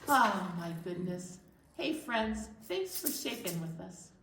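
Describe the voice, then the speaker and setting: A woman hosting a storytime for young children, talking in an exaggerated, animated way as if to little listeners. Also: medium pitch, slow, gravelly. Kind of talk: read-aloud storytime presentation with songs. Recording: roomy echo, distant mic, faint noise.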